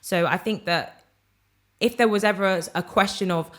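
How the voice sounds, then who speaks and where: A woman's voice: mid-range voice, moderate pace, a bit bright. A young woman co-hosting a casual podcast, talking in a candid, opinionated way and laughing often. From Britain. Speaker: podcast co-host.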